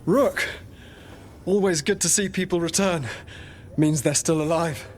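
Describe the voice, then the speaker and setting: An actor playing a friendly, plain-spoken villager in a video game. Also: male voice, a little high, measured pace, a little hiss or hum, natural voice. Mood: welcoming. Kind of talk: dramatic video game cutscene acting. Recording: faint music behind the voice.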